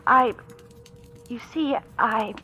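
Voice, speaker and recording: An actress playing an anxious, defensive young divorcee in a 1940s radio drama, speaking in a dramatic confessional manner. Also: female voice, a little high, drawn-out words, bright, forceful tone, heavily acted. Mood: hesitant, uneasy. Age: young adult. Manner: confessional, dramatic, hesitant in dialogue. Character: anxious, defensive young divorcee living under false identity. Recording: vintage radio broadcast, music behind.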